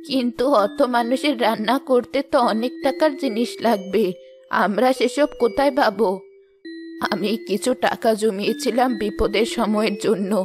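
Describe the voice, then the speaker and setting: A woman voicing a kind, cautious old village grandmother in an animated moral story, gentle and homely. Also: a little high, lightly acted.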